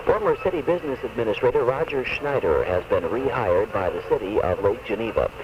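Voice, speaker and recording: A man's voice: medium pitch, expressive, fairly quick. A man reading scripted local news as a radio newscaster. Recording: distant, muffled, noisy AM radio recording.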